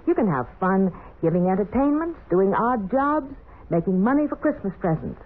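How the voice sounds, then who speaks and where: A woman's voice: medium pitch, very animated, moderate pace, breathy edge. A woman hosting a 1940s children's radio show, addressing listeners in a scripted style. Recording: tinny, phone-like 1940s radio recording.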